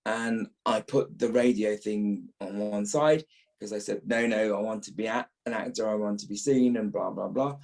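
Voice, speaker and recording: A man's voice: fairly low pitch, moderate pace, gravelly, darkish tone. A middle-aged or older British man, an actor being interviewed, talking reflectively and repeating phrases as he thinks.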